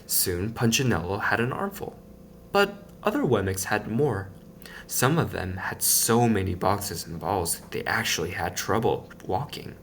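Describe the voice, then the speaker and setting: A man reading a children's picture book aloud in an expressive, playful storytelling manner. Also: on the low side, measured pace, slight background noise.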